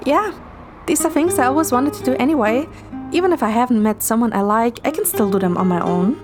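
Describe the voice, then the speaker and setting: A young woman with a slightly high voice narrates a romantic story in the first person. She also acts out her own lines of dialogue. Guitar music is clearly heard behind her. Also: animated, fairly quick. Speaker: amateur narrator and voice actor.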